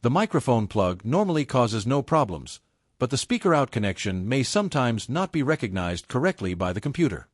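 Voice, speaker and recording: Man narrating a tutorial, lively pitch, dry sound. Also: brisk, no echo. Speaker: tutorial presenter. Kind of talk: scripted step-by-step tutorial narration.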